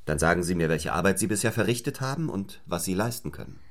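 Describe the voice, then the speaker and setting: An actor playing a stern, ambitious young clergyman in a German radio play, speaking in a measured, formal and probing manner. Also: sits low, animated. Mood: probing, insistent.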